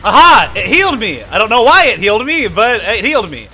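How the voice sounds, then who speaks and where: A man doing casual live gameplay commentary, playful and excitable, often talking to himself. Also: high-pitched, brisk, a little hiss or hum. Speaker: game streamer.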